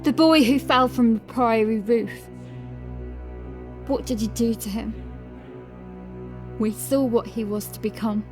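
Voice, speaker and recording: A woman's voice: a little high, measured pace, pauses often, over music, close to an everyday voice. A woman voicing a hard, accusing young female ward with a harsh, clipped way of talking. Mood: pressing.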